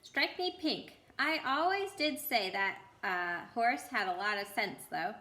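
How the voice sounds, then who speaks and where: A woman's voice: fairly high pitch, lively intonation, a little slow, bright, forceful tone, echoey room. A woman voicing a plain-spoken, good-natured male cabbie in a storybook, folksy and hearty. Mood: astonished. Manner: folksy, colloquial phrasing.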